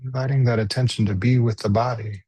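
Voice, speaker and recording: A man leading a guided body scan meditation as a teacher, speaking gently and unhurriedly with pauses. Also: medium pitch, fairly even intonation, husky, dry recording.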